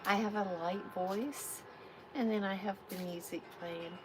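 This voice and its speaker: A woman hosting a live tarot reading, giving spiritual guidance in a soft, soothing way. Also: medium pitch, fairly even intonation, noisy background. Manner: soft, unhurried, soothing affirmations.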